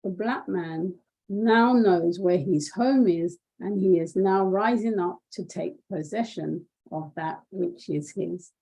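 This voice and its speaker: A woman's voice: lowish voice, takes it slowly, mellow, husky, low-quality recording. A woman co-hosting an online talk show, speaking and murmuring agreement. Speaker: co-host. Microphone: online call.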